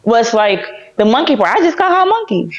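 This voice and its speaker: A woman's voice: fairly high pitch, a little fast. American woman on a dull lo-fi podcast. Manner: animated, slangy, rhetorical questions. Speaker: podcast co-host. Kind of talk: casual opinionated chat.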